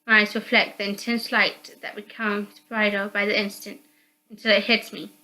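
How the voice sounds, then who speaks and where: A woman's voice: medium pitch, level tone, normal speed, bright, forceful tone, low-quality recording. A woman reading a visual novel aloud as narrator, in dramatic scripted narration. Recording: music under the voice.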